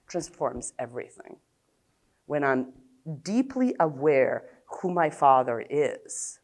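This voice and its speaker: A woman from Serbia in her fifties, speaking with an American-tinged accent in a notably low voice. She gives a conference talk as a psychologist, blunt and open, pausing often with halting fillers. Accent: American-tinged English.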